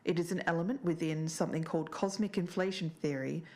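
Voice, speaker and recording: A woman playing a podcast investigator, speaking in a measured, sceptical and deliberate way as she narrates and discusses. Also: deep voice, steady tone, darkish tone. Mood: measured, explanatory. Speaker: podcast co-host.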